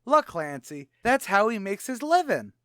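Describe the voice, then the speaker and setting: A man playing a brash, fast-talking tavern manager with slangy speech in an old-time radio comedy. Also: sits high, very animated, fairly bright tone, no echo, studio quality. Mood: wisecracking. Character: wisecracking adult male tavern manager.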